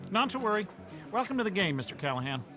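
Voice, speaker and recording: An actor playing a courteous, formal card dealer in a video game. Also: male voice, sits high, big pitch swings, fast talker. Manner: formal, genteel announcing. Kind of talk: cinematic video game acting. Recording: music behind the voice.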